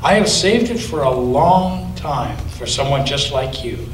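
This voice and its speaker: A man voicing a wise, gentle ancient female turtle, speaking slowly and softly, recorded at a distance in a roomy space with faint hiss. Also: medium pitch, expressive, natural voice.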